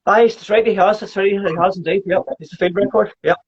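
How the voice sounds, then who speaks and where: A Scottish man in his fifties hosting a casual chat, keen and quick to agree. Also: sits high, steady tone, slightly rough. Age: 50s. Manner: enthusiastic, quick to agree and laugh. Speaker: podcast host. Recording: muffled lo-fi audio.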